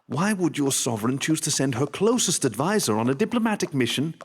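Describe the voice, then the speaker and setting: Sly royal vizier, highish voice, swooping intonation, mock-polite needling, theatrical radio drama. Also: male voice, sing-song, a little fast, a little hiss or hum. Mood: mock-pitying, sly. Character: sly, mocking male royal vizier, needling an old rival. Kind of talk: fan-made radio drama acting. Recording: long hall reverb.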